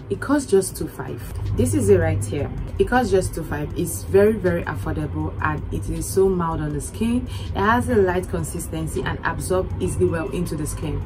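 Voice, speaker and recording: Chatty hoarse young woman vlogging. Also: lowish voice, poor audio. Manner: chatty, animated, repeats herself for emphasis. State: hoarse, recovering lost voice. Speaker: beauty vlogger. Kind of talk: casual skincare vlog to camera. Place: indoors against plain orange backdrop.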